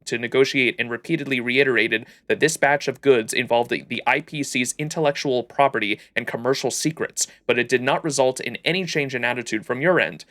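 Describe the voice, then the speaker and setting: A man's voice: mid-range voice, fairly quick, few pauses, a bit bright. A man livestreaming a video game, reading its story text aloud and reacting to it.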